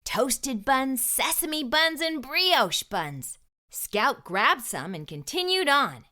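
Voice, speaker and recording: A woman reading a storybook aloud to young children, with expressive, emphatic delivery and deliberate phrasing. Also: high voice.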